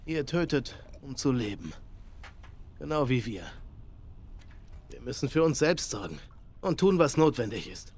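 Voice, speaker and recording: A middle-aged actor playing a calm, menacing leader of survivors in a video game, soft-spoken, persuasive and condescending. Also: male voice, medium pitch, a little slow, pauses often, a little hiss or hum. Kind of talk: dramatic video game cutscene acting.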